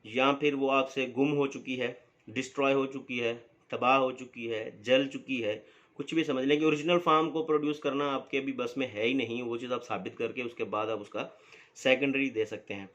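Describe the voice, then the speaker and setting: A man's voice: mid-range voice, fairly even intonation, fairly bright tone, lo-fi sound. Male law lecturer explaining steadily. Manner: explains slowly with many fillers, reads statute text aloud deliberately.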